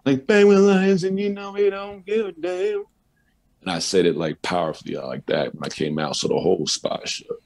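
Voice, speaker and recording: A man, a musician guest, telling stories in a loose, profane, animated way on a casual talk show. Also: on the high side, normal speed, gravelly. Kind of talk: casual storytelling interview.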